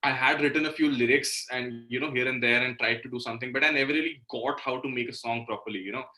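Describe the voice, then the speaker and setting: Male interview guest, Indian accent, bright tone, mid-pitched, conversational and informal. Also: fairly even intonation, fast, poor audio. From Delhi, India. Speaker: guest, indie musician. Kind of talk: casual interview talk.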